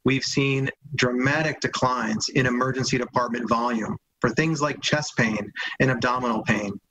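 A man's voice: mid-range voice, a bit bright, a little hiss or hum, poor audio. A man, a hospital medical officer answering questions carefully over a video call from home, with frequent fillers. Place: home room with framed art. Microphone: video call webcam.

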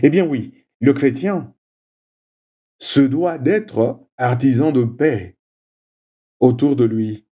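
A man whose first language is Swahili, leading a prayer and giving a slow, measured homily with frequent little "hein" asides. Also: mid-range voice, warm tone, husky. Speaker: preacher.

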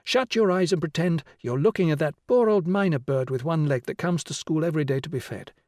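A man voicing a kindly woman teacher in an audiobook, coaxing and instructing children with deliberate phrasing. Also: on the high side, a little mellow, no echo, studio quality, voice pushed up, lightly acted. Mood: gently coaxing. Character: Susila, tall kindly woman teacher guiding children's pretend games. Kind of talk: audiobook character voice.

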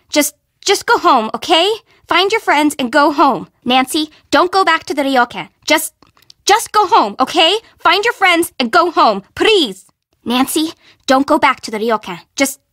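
An actress playing a dramatic, friendly young woman in a video game, expressive and informal. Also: high-pitched, no echo, studio quality. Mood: worried, pleading. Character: perky, dramatic young woman, friendly but easily spooked. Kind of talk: scripted game dialogue.

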